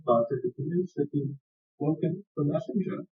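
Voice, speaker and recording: A man playing an evasive, cryptic wanderer in a tabletop game, speaking in a halting, polite, cagey way. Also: fairly high pitch, lightly acted. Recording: dull lo-fi sound.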